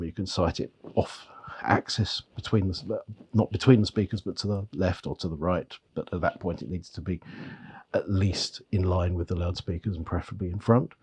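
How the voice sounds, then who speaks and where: Hoarse, gravelly man with a cold. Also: mellow. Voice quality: gravelly, bass-heavy voice. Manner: rambling, with frequent restarts and repeated words. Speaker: magazine editor reviewing audio gear. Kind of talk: product review talk to camera.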